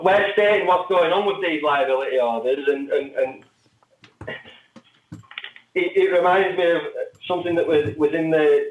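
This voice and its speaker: Male interview guest, higher register, distant and echoey remote-call sound, halting and repetitive explanatory delivery. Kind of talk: animated discussion of legal cases. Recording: tinny phone-like line, distant and echoey.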